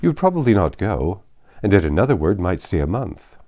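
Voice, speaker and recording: Man reading as a proud, low-voiced, reserved gentleman. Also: sing-song. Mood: stern, lecturing. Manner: formal, measured, cutting. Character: Mr. Darcy, proud, reserved, precise young gentleman.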